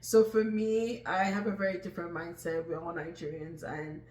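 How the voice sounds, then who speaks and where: A man's voice: a little high, level tone, moderate pace, a little mellow. A man co-hosting a casual podcast conversation, reflective and agreeable, with many fillers. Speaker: podcast co-host. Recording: echoey room, faint background noise.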